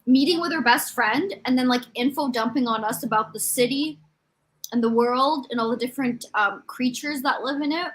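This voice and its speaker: A woman's voice: high-pitched, normal speed, fairly bright tone. A woman chatting casually about books, blunt and opinionated in how she talks. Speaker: guest on a book reading livestream.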